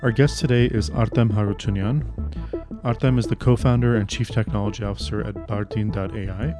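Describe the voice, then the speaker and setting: A male podcast host with a lowish voice and a polite, scripted delivery. Music plays clearly under his voice. He asks his guest short, tidy questions. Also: steady tone, fairly quick. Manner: polite, scripted intro then brief prompts. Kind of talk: podcast interview hosting.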